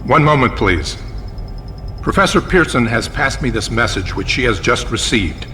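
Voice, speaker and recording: Formal, bright-voiced radio news reporter. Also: male voice, mid-range voice, animated, big-room sound, slight background noise. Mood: formal, urgent. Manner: formal, deliberate announcer delivery. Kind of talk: old-time radio news broadcast acting.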